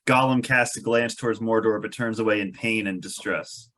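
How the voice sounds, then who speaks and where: A man's voice: lowish voice, fairly even intonation, normal speed, a bit bright. A man reading out stage directions as narrator at a fantasy script table read, with a wry manner.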